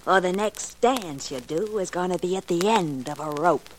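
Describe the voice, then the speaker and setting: A woman's voice: fairly low pitch, expressive, normal speed, heavy background noise, close to an everyday voice. A woman playing a young dancer with a tough streak, plain-spoken and insistent, in an old-time radio crime drama. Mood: cold, threatening. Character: insecure young female dancer turned tough, menacing partner.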